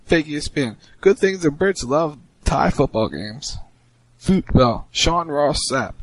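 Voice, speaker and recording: A man co-hosting a casual talk show, reading tweets aloud with relaxed, joking asides. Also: a little high, takes it slowly, no echo, poor audio. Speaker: podcast co-host.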